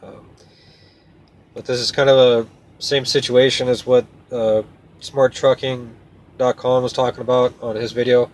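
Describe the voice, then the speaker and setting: A man's voice: medium pitch, a little slow, pauses often. A man talking casually to viewers as a trucker vlogger, in a plainspoken, folksy, hesitant way. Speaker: trucker and video host. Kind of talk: casual rambling vlog talk to viewers. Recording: clear steady background noise.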